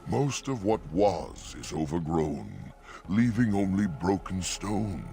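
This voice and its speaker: An actor playing an ancient talking oak tree in a fantasy game, solemn and mournful, speaking in archaic rhyming verse with a gravelly voice. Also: male voice, big pitch swings, takes it slowly, dark tone, full character voice. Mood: slow, wistful. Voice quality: deep, gravelly. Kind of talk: fantasy video game character acting.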